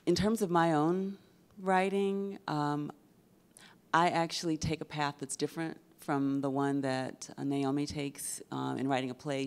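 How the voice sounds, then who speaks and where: A woman's voice: very deep voice, darkish tone. A middle-aged woman, a poet on a panel, answering thoughtfully and choosing her words with care and hesitation. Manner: measured, careful, hesitant word choice. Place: large theatre stage before an audience. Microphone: handheld microphone.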